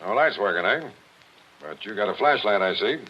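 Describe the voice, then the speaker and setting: An actor playing a shrewd homicide police captain who questions people in a wry, probing way, in 1940s radio acting. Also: male voice, on the low side, expressive, moderate pace, background noise, close to an everyday voice. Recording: dull old radio broadcast.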